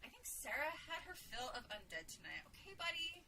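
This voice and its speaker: A woman's voice: high voice, big pitch swings, moderate pace, pushed and bright, recorded from a distance, a little hiss or hum, full character voice, low-quality recording. A woman voicing a brash, sardonic young woman in a story, with slangy, casual, teasing delivery. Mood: upbeat, friendly.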